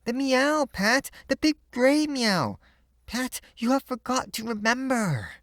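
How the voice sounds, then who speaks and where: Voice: medium pitch, big pitch swings, takes it slowly, fairly bright tone, dry recording, clean studio sound, pitched above the performer's own voice. A reader voicing a small eager girl from Chinatown who speaks in broken English. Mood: wistful. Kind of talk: storybook read-aloud.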